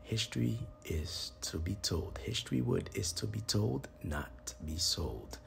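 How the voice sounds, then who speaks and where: A man hosting a podcast, giving a promotional monologue in a deliberate, portentous way with emphatic pauses. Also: lowish voice, very animated, vocal fry. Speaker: podcast host. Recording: noticeable background noise.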